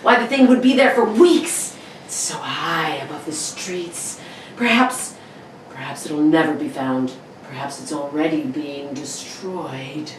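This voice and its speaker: A woman playing a nervous, desperate man in an old-time radio suspense play, pleading and halting. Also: sits low. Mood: anxious. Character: nervous, desperate, sleepless male neighbour obsessed with a corpse. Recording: echoey room from far off, faint noise.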